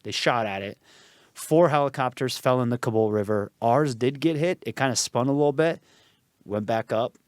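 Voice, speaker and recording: A man in his thirties from Afghanistan, a podcast guest telling his life story in a relaxed way, repeating phrases and fillers. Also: mid-range voice, moderate pace, no room sound, studio quality. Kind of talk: casual storytelling interview. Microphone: podcast studio mic.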